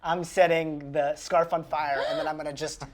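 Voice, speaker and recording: A man playing a tabletop roleplaying game, chatting casually and hesitantly thinking his moves out loud. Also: fairly high pitch, moderate pace. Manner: animated, hesitant while working things out.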